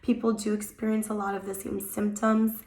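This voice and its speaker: Hoarse young woman chatting at home. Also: mid-range voice, little pitch movement, moderate pace, darkish tone, roomy sound. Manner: chatty, rambling, many "like" fillers. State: hoarse from a cold, voice coming back. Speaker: vlogger. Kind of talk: casual chat to camera.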